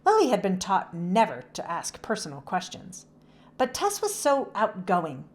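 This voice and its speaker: A woman narrates a children's book aloud. Her pitch sits in the middle range and moves up and down a lot. The delivery is expressive, animated storytelling. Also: unhurried.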